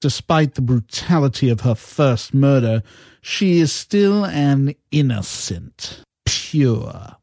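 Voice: medium pitch, expressive, slow, mellow, dry recording. A performer acting a jaded, impatient villainous interviewer with a curt, dry, formal manner in an amateur audio drama. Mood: measured.

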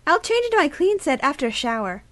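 Bubbly, cheeky teen girl apprentice for sci-fi audio drama, acted by a young woman, high-pitched and very animated. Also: quick pace, no room sound. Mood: perky. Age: teenager. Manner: perky, girlish, teasing. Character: bubbly, cheeky teenage girl Sith apprentice who loves pink. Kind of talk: science-fiction audio drama acting.

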